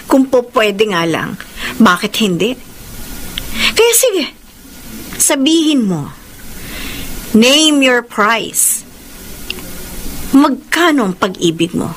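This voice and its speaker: A woman's voice: fairly high pitch, big pitch swings, slow pace, pauses often, fairly bright tone, a little hiss or hum, heavily acted, low-quality recording. A woman with a Filipino accent playing a demanding, seductive rich woman in a radio drama, taunting and insistent. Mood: seductive, pleading. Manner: taunting, insistent, theatrical delivery. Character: demanding, seductive, controlling wealthy woman pursuing a married man.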